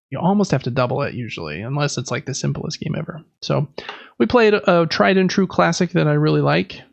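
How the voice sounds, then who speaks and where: A man in his thirties chats as a podcast co-host in an easy, explanatory way. His voice sits a little high with a creaky edge. The sound is dry and somewhat muffled. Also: no room sound. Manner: conversational, explanatory. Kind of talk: casual chat about board games.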